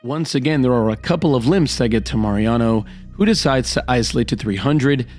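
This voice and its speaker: A man narrates poker hands over clearly audible background music, his mid-pitched voice a little hoarse from a recent cold. He talks with hyped, dramatic play-by-play energy. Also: a little fast, a little mellow. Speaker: poker vlogger.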